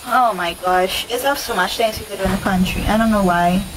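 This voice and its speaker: A woman's voice: medium pitch, a little fast. A young woman with a Trinidadian accent, answering viewer questions in a casual, chatty vlog and repeating herself often. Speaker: vlogger. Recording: noisy home recording.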